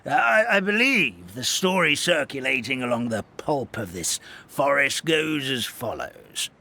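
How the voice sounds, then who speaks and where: A man voicing a kindly, forgetful elderly forest creature in a video game, telling stories in a halting, rambling, theatrical way. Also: mid-range voice, very animated, gravelly, sharp tone, heavily acted. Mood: solemn, wistful. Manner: halting, rambling, theatrical storytelling with stammered repeats. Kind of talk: video game character storytelling. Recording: studio voice over background music.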